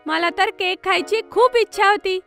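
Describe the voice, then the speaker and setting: Excitable prankster kid sister for a kids' cartoon: tiny, very high, bright, teasing. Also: female voice, normal speed, vocal fry, soft background music, heavily acted. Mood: alarmed, dismayed. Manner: exclamatory, teasing. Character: excitable, giggly, mischievous little girl, a prankster sister. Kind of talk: children's cartoon voice acting.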